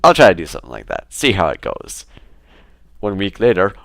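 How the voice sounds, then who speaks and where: A young man talking casually to his camera in a relaxed, rambling way, with fillers and restarts. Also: sits low, a little fast, creaky, dry recording, studio quality. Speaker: vlogger.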